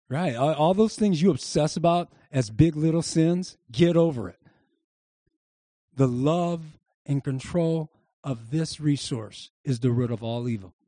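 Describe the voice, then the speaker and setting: High-voiced man preaching, emphatic, dry sound. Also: mellow, no room sound. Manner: emphatic preaching with audience call-and-response. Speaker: pastor. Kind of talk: sermon. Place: church service before a congregation.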